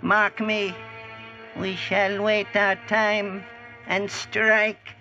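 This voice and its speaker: An actor playing an old, scheming, vengeful wizard in a radio drama, speaking archaic English in a portentous manner. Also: male voice, high-pitched, flat delivery, pushed and bright, heavily acted. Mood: ominous, scheming. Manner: slow, portentous, archaic diction. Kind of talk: 1940s radio drama acting. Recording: muffled vintage radio, music underneath.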